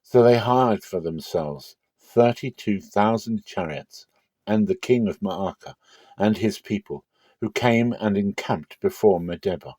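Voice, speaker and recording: A man giving a dramatic, expressive reading of scripture in a measured, emphatic way. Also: lowish voice, dry recording. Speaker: Bible reader.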